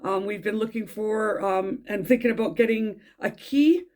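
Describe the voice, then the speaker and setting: A middle-aged Englishwoman, a tarot reader, chatting in a casual vlog with a croaky throat from a cold, rambling and gushing with many ums and restarts. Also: medium pitch, normal speed, a little mellow. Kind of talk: casual weekly vlog chat.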